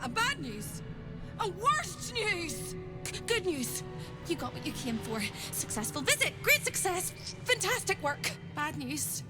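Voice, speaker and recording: High-pitched manic captive, wildly swooping. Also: female voice, very animated, a little slow, loud music behind the voice, full character voice. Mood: manic, chirpy. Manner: theatrical, erratic, swinging from singsong to hushed. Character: manic, frightened captive woman, chirpy and unhinged after long torture. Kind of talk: video game character acting.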